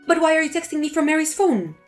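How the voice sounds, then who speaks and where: A woman's voice: high voice, animated, quick pace, faint music behind. A woman voicing a spiteful, scolding older mother-in-law in a scripted text-story drama. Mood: defensive. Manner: scolding, contemptuous.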